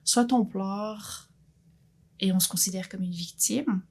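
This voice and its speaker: A woman's voice: mid-range voice, slow pace, a little mellow, husky. A woman, sharing her personal story as an interview guest in an earnest, thoughtful way with frequent restarts. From Belgium. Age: 50s. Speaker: guest entrepreneur.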